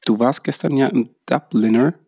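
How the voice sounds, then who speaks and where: A man's voice: medium pitch, moderate pace, darkish tone, slightly rough, no echo, clean studio sound. A man with a cold hosting a casual podcast chat, hesitant and full of fillers. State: has a cold. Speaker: podcast host. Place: holiday house.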